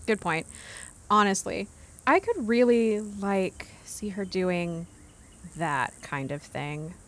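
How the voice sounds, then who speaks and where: A woman's voice: mid-range voice, animated, slow. An actress playing a catty, exasperated young woman gossiping at camp with emphatic disdain, in a naturalistic audio drama. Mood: annoyed.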